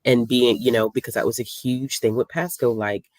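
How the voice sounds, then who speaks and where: A woman's voice: rumbling low, fairly even intonation, normal speed, darkish tone, no room sound. Sassy, emphatic woman talking books. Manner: emphatic, sassy, playful storytelling. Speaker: book discussion co-host. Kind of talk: casual book chat. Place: live online video call.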